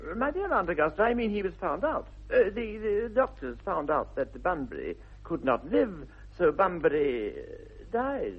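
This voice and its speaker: Flustered, animated young English gentleman. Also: high voice, moderate pace, hardly any pauses, husky, full character voice. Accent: upper-class English. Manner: stammering, evasive. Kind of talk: 1960s radio comedy acting. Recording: tinny, band-limited vintage radio recording.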